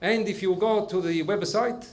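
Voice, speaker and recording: A man playing an excitable Italian immigrant stage host, speaking broken English with an Italian accent in a playful, animated way. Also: high voice, normal speed, slight background noise, lightly acted. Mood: jovial. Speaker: comedian and show host. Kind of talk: stand-up storytelling and hosting. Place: live club stage with audience. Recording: roomy live stage, faint audience.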